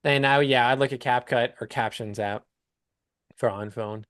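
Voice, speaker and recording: Guy coach on a call explaining stuff casually, clean smooth voice, dry sound. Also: medium pitch, steady tone, normal speed, fairly bright tone, dry recording. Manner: casual, explanatory, walking through examples. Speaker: business coach hosting a client call.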